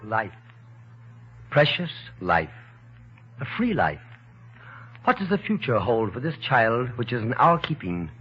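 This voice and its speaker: A man's voice: medium pitch, big pitch swings, unhurried, pauses often, over music. A man delivering an emphatic, declamatory patriotic appeal in 1940s radio oratory style. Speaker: war bond appeal speaker. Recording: muffled vintage radio broadcast.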